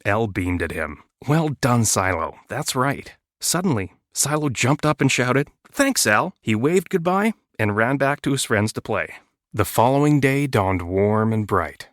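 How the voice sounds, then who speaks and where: A man's voice: mid-range voice, dry recording, studio quality. A man narrating a children's storybook as a guest storyteller.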